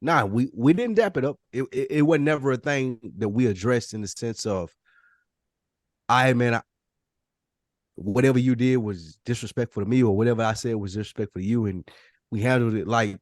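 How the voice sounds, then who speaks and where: A man's voice: medium pitch, normal speed, no room sound. Man, casual podcast talk, blunt delivery, repeated restarts, dry acoustic. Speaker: podcast panelist. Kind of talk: casual chat.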